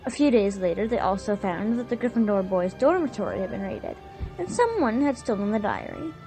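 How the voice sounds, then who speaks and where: A woman's voice: mid-range voice, animated, brisk. A woman narrating a scripted recap of an audio drama story. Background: faint music.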